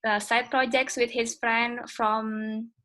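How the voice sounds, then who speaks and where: A woman's voice: fairly high pitch, flat delivery, slow pace, a bit bright. A woman introducing the next speaker on a video call, hesitant and full of fillers. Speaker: session moderator. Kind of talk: casual introduction.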